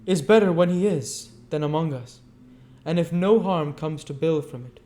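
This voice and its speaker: A man's voice: on the high side, normal speed, dark tone, voice pushed up, close to an everyday voice. A man voicing a bitter, defiant young woman of the streets.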